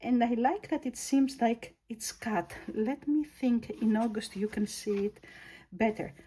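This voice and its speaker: Greek-accented woman, planner vlogger, unhurried, soft husky tone. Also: on the high side. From Greece. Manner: slow, thoughtful, searching for words. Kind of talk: casual product review.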